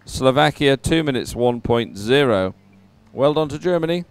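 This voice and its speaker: Male live sports commentator, very clean smooth voice, mid-pitched, informal and encouraging. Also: expressive, moderate pace.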